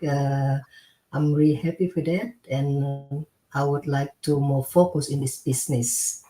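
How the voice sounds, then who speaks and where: Woman, from indonesia, english, deep voice, hesitant, echoey room. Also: little pitch movement, a little slow, warm tone. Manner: hesitant, many fillers and restarts. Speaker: interview guest, caregiver. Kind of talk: casual interview chat.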